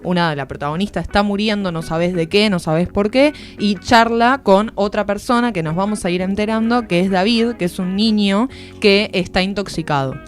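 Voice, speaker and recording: A woman's voice: mid-range voice, moderate pace, few pauses. A woman with an Argentine accent giving an informal, explanatory book review as a columnist on a radio show. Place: radio studio. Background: music.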